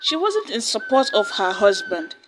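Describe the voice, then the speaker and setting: A woman narrating a folktale in a steady, plain storytelling manner. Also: medium pitch, normal speed, rough recording. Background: soft music.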